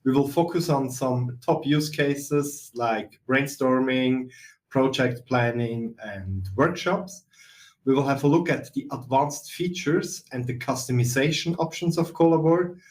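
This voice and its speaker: A middle-aged man with a Swiss accent, presenting a software webinar in a steady explanatory way with some fillers. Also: mid-range voice, unhurried. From Switzerland. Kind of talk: webinar walkthrough.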